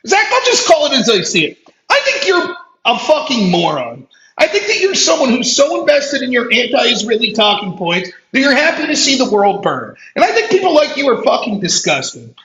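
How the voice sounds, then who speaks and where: A man's voice: extremely high pitch, wildly swooping pitch, normal speed, pushed and bright, muffled recording. A male podcast host delivering a profane, combative, sarcastic political rant. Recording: roomy echo.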